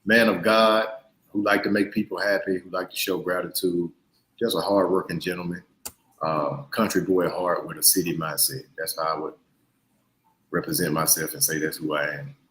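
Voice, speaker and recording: A man in his thirties with a Mississippi Southern accent, a guest in a casual interview, relaxed and pausing with fillers. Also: low voice, reverberant, poor audio. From Mississippi, USA. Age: 30s. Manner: relaxed, hesitant with frequent uh fillers.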